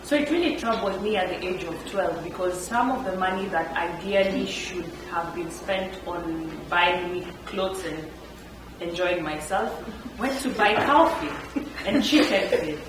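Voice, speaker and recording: Woman, panel storyteller, laughs often, lowish voice, echoey room, distant mic, noisy background. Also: a little slow. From Kenya. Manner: animated, laughs often while telling stories. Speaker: entrepreneur on a panel. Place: event room. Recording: echoey room, picked up from far, background noise.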